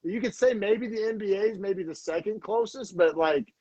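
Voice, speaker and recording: A man's voice: high-pitched, normal speed, dark tone, dry recording. A young man co-hosting a podcast in casual chat, rambling with lots of fillers and restarts. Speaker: podcast co-host. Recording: dull, dry lo-fi sound.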